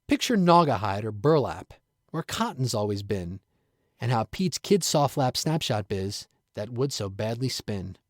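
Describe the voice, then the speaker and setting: A man reading his own rhyming poem aloud in a measured, playful recitation. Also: medium pitch, very animated, no room sound, studio quality. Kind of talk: rhymed poetry recitation.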